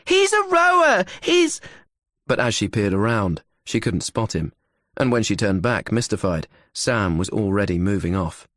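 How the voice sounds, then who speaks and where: A man's voice: fairly low pitch, normal speed, no echo, clean studio sound. A man reading scripted thriller narration as an audiobook narrator.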